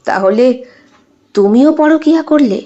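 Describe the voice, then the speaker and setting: A woman's voice: high-pitched, lively intonation, normal speed, dull audio. A woman voicing a betrayed husband in an audio story, grave, reproachful and questioning. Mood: stern, hurt. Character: betrayed husband, stern, wounded, disbelieving. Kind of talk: dramatized audio short story.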